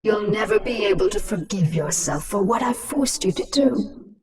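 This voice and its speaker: A woman's voice: medium pitch, lively intonation, normal speed, distant mic, music in the background, natural voice. A woman playing a cruel, imperious alien swarm queen in a video game, commanding and taunting. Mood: gloating, scornful.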